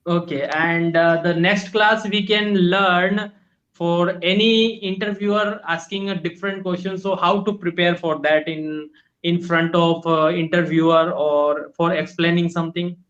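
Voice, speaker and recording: A man's voice: high voice, fairly even intonation, a little slow, a bit bright, lo-fi sound. A young man from India, a native Hindi speaker, earnestly asking questions in a casual English practice lesson, politely calling his teacher ma'am. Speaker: English learner. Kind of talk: online language lesson chat. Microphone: video call.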